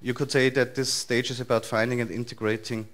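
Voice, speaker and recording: A man in his thirties with an Austrian accent, giving a relaxed, loosely structured talk to a conference audience in a large lecture hall. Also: medium pitch, a little fast. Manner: relaxed, thinking aloud with frequent fillers and restarts. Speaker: conference speaker.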